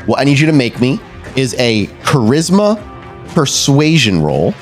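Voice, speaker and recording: A man's voice: medium pitch, very animated, a little slow. A man narrating a tabletop horror game as storyteller, in a casual, conversational style. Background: faint music.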